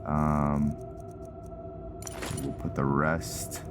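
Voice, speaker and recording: A man's voice: a bass voice, level tone, very slow, music in the background. A man giving casual, conversational let's-play commentary, musing aloud as he plays. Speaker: gaming streamer, host.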